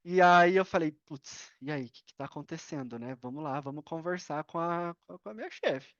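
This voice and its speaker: A man's voice: on the high side, normal speed, no room sound. A Brazilian man telling personal stories as a podcast guest, informal and slangy, acting out bits of dialogue. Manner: informal, slangy, animated retelling with acted-out dialogue. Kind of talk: casual personal storytelling. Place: indoors at a computer on a video call. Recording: slightly dull, dry online-call audio.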